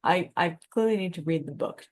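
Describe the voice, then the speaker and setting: Reflective woman with a slightly low voice. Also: a little fast. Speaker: podcast co-host. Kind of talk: casual analytical discussion.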